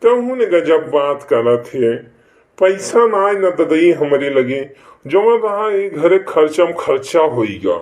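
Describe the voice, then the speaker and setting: A man's voice: a little high, sing-song, measured pace, darkish tone. A man voicing a sly, nervous village husband with an Awadhi accent in a rural cartoon comedy. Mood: evasive.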